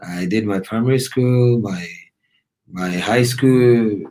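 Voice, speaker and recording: A man's voice: fairly low pitch, slow, mellow. A man who grew up in Ghana, chatting casually on a live stream, thoughtful and often searching for his words.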